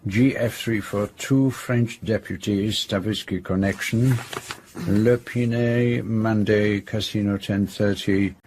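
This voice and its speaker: An actor playing a shrewd, courteous private detective in an audio drama, measured and gently probing. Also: male voice, lowish voice, fairly even intonation, background noise. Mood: composed.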